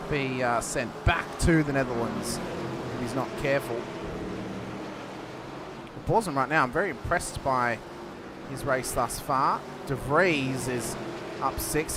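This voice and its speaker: A man giving live commentary on a sim race, cheeky and quick to crack jokes. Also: medium pitch. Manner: cheeky, joking, quick to laugh. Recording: engine noise under the voice.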